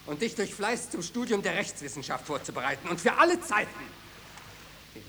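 A man's voice: sits high, sing-song, a little fast, sharp tone, exaggerated voice. An actor playing a stern, authoritarian father in a German radio drama, commanding and emphatic. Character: stern authoritarian father demanding obedience and study. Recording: long hall reverb, light noise.

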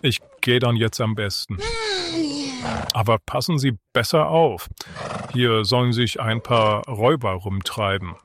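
A man playing a polite, naive treasure seeker in an amateur comedy radio play, speaking deliberately. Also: mid-range voice, natural voice. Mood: polite, cautioning. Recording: noisy 1980s radio play tape.

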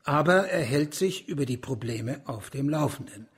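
A man playing a deferential high official in a radio crime drama, speaking careful, courtly with a gravelly voice. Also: expressive, normal speed. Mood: deferential, explanatory. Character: deferential high official, a provincial governor reporting upward.

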